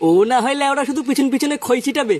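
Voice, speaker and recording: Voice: sits high, steady tone, fast talker, close to an everyday voice. A performer voicing a bossy, foul-mouthed young male gang leader in a Bengali cartoon comedy, giving orders in crude slang. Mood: brisk, decisive. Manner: commanding, crude slangy talk. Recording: clear background noise and ambience.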